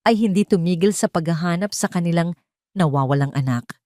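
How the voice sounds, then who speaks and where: A woman voicing a courteous, sympathetic male attorney in an audio story, speaking in a measured, formal way. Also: on the low side, warm tone, no room sound, clean studio sound, lightly acted.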